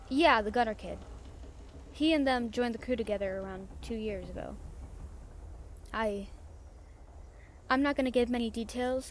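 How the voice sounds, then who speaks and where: Audio roleplay pirate captain played by a woman: slightly high voice, salty, casual, confiding, warm-hearted, over faint background noise. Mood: fond, sober. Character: brash, warm-hearted female pirate captain, fond and teasing. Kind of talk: audio roleplay acting, chatting to the listener.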